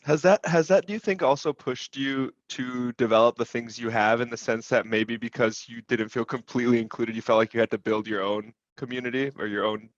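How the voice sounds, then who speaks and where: A man's voice: medium pitch, steady tone, fairly quick, few pauses, lo-fi sound. A man interviewing a guest, asking probing follow-up questions.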